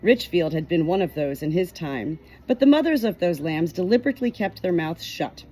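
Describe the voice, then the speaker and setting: A woman reading a novel chapter aloud in an expressive storytelling manner, giving short quoted lines a light change of voice. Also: lowish voice, a little fast, poor audio. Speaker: audiobook reader.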